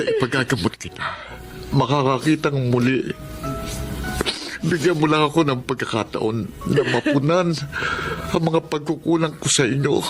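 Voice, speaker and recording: An actor playing an earnest, long-absent policeman father in a melodramatic radio drama, with a Filipino accent. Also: on the high side. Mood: earnest pleading. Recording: loud music under the voice.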